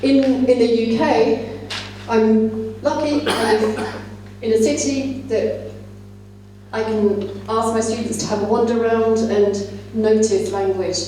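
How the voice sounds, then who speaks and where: Echoey, mellow-voiced woman giving a talk. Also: a little high. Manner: explanatory, conversational. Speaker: conference plenary speaker, English teacher trainer. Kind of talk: conference talk to teachers. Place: large indoor conference room. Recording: echoey hall, distant mic, faint hum.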